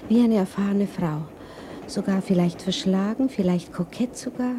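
An actress playing a lovesick, brooding widow in a radio drama, speaking in halting, intimate inner monologue. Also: female voice, medium pitch, warm tone, slight background noise, close to an everyday voice. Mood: proudly wistful. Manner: halting, intimate, often whispering. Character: lovesick young widow Bertha, brooding, wavering between doubt and pride.

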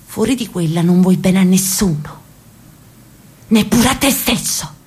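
An actress playing an elderly sister from Naples in a radio drama, telling a street story with lively, affectionate chatter. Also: female voice, mid-range voice, a little slow, natural voice. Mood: reproachful. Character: elderly Neapolitan sister, talkative, loves her city.

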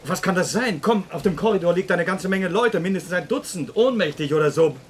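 Startled higher-voiced colleague, noisy. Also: male voice, expressive, brisk. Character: startled male colleague at a government office. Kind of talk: German radio play dialogue.

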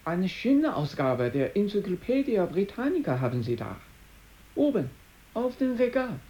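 A soft, dark-toned antiquarian bookseller in a radio drama. He is polite and unruffled, understated in wording yet lively in intonation. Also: high voice, normal speed, highs cut off. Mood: polite, composed. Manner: courteous, understated. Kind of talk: German radio drama acting.

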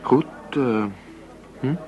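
An actor in a radio drama playing a cocky, short-tempered underworld go-between with a blunt, streetwise way of talking. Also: male voice, medium pitch, drawn-out words, lo-fi sound. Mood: cocky, businesslike. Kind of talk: Dutch radio drama dialogue.

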